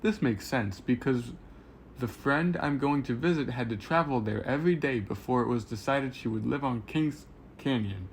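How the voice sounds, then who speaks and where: A man's voice: medium pitch, normal speed, close to an everyday voice. A man voicing a friendly, childlike robot who narrates his travels in earnest, simple, innocent phrasing. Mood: curious, hopeful. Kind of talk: scripted first-person narration read aloud. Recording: clear background noise.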